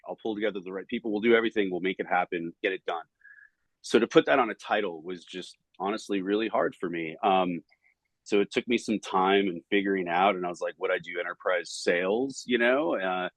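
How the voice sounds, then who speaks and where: A man in his forties with a Southern Californian accent, answering interview questions in an energetic, rambling way full of fillers. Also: sits low, dry recording, low-quality recording. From United States. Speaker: podcast guest, startup banking director.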